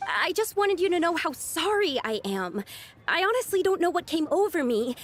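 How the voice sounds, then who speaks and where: Earnest young female academic for a video game, high-pitched, courteous and sincere. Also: very animated, normal speed. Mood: nervous, apologetic. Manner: polite, sincere, slightly timid. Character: earnest, apologetic, polite young woman scholar. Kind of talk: English video game voice acting.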